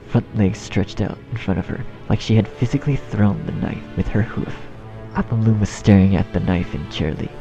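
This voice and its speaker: A man's voice: lowish voice, muffled recording. A man reading a scripted story as narrator, in a dramatic storytelling style. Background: music.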